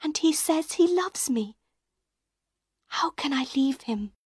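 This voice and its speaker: A woman's voice: high-pitched, animated, takes it slowly, no room sound, studio quality, voice pushed up. Woman doing a frightened young opera singer. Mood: fearful. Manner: breathless pleading whispers.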